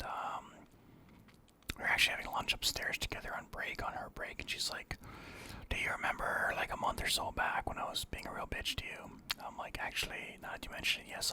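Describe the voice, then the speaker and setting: A man telling a personal story casually in a breathy whisper, animatedly acting out both sides of conversations. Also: brisk. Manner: animated retelling with quoted dialogue, swearing freely.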